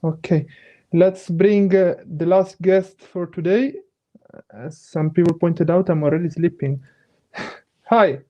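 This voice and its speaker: A man's voice: on the high side, steady tone, slow pace, muffled recording. A man hosting a live call-in stream, friendly and affirming, laughing often with callers. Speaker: host.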